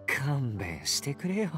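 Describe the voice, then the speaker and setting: Breezy young man, lively swings. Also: mid-range voice. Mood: amused. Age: young adult. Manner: casual, playful, smooth-talking. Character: breezy, teasing young male fighter with an edge. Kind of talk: Japanese anime-game voice acting.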